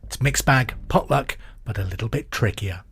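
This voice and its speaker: A man hosting a pub quiz podcast, reading questions and answers in a clear, deliberate way with casual asides. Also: mid-range voice, a bit bright. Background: music under the voice.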